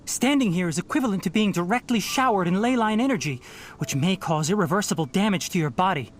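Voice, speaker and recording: An actor playing a calm young male forest ranger and scholar in a video game, speaking in a measured, earnest, patient way. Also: high-pitched, slight background noise. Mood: urgent.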